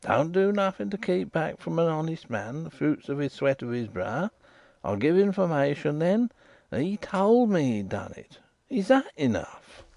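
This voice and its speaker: A man puts on a shifty waterside informer who whines and insists, his voice jumping high with indignation. The sound is dry and close. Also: sing-song, normal speed, creaky, a little mellow, no echo. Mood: insistent. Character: shifty, whining riverside informer, middle-aged man.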